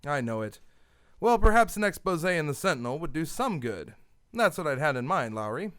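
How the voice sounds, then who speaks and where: A man acting a confident newspaper publisher and masked hero in a community radio drama, brisk and commanding. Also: a little high, big pitch swings, a bit bright, clean tone, no room sound, studio quality. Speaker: radio actor. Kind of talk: old-time radio drama.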